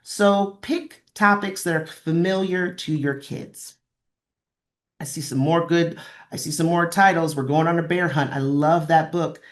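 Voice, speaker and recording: Woman, slightly low voice, training presenter, instructional, encouraging, repeats points for emphasis. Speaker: presenter of a librarian training session. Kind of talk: instructional webinar talk with examples.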